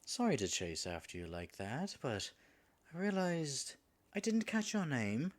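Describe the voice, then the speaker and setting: A man's voice: a little high, sing-song, a little slow. A man voicing a flustered, flirtatious dragon in human form in an audio roleplay, stammering nervously and muttering asides to himself. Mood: breathless, friendly. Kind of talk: audio roleplay addressed to the listener.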